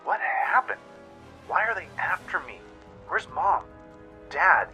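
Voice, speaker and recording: A man plays a young pony mare in a radio drama, scared and bewildered. The voice is pushed up high and bright, asking out in alarm, with music underneath. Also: very animated, slow, exaggerated voice. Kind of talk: radio play character acting.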